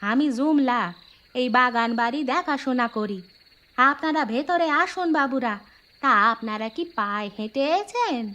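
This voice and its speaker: A polite, dutiful servant woman, voiced by an actress in an animated ghost story. Her voice is fairly high and she speaks attentively and deferentially, as a caretaker would. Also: moderate pace, muffled recording. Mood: welcoming, polite. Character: dutiful, polite female caretaker of a remote bungalow. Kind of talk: animated horror cartoon dialogue.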